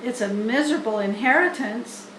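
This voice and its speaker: A woman acts out a young male storybook pig with a very high, earnest voice. He is polite and effusive, anxious underneath and quick to stammer. The sound is roomy and echoing. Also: fairly bright tone, slight background noise, natural voice. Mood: dismayed, gloomy. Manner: effusive, stammering when upset. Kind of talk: children's storybook character reading.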